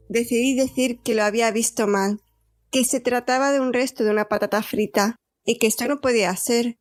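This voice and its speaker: Woman doing a scared but stubborn captive girl telling her story, highish voice, audio drama, bit of music under. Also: natural voice. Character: frightened, determined captive young woman recording herself. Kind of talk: first-person dramatic narration in audio fiction.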